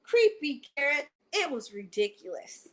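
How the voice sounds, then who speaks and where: A woman hosting a bedtime storytime, addressing children directly and reading a picture book aloud with deliberate phrasing. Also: sits high, sing-song, a bit bright. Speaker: library storytime host and reader. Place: at home, indoors.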